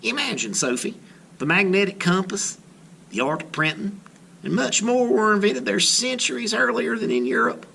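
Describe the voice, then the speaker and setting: A man's voice: high-pitched, animated, normal speed, gravelly, pushed and bright, a little hiss or hum, pitched above the performer's own voice, full character voice. A man voicing an enthusiastic, knowledgeable aunt in a children's storybook, sounding impressed and instructive. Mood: eager. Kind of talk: children's storybook read-aloud.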